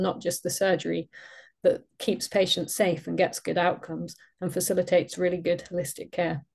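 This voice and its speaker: A British woman in her forties, a neurosurgeon, gives a measured, reflective webinar talk over a video call. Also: medium pitch, a little mellow. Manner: measured, reflective, with frequent ums. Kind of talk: webinar presentation. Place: indoor room, video call.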